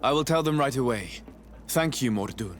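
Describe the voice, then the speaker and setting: An actor playing a composed, persuasive diplomat in a video game, calm, formal and direct. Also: male voice, mid-range voice, normal speed, lightly acted. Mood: firm, persuasive. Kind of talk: video game dialogue acting. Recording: clear ambient noise behind the voice.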